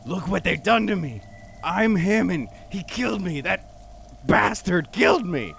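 Anguished, high-pitched, creaky-voiced unhinged vagrant. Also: male voice, expressive, unhurried, fairly bright tone, slight background noise, full character voice. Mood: anguished, accusing. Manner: anguished, accusing. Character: unhinged, grimy, scarred, graying male vagrant. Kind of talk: horror audio drama role-play.

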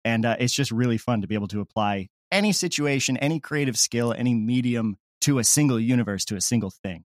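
A man speaks as an interview guest, explaining creative ideas in a casual, enthusiastic way. His pitch sits a touch high for a male voice, and the sound is dry, with very little room in it. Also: lively intonation, fast, no room sound.

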